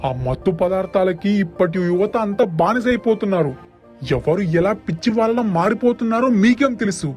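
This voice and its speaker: A stern village policeman acted by a man scolds in a high-pitched voice, with faint music underneath. He is righteous and unbending, straight out of a cartoon moral story. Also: fairly quick, darkish tone, lightly acted. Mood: stern anger. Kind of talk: animated moral story dialogue.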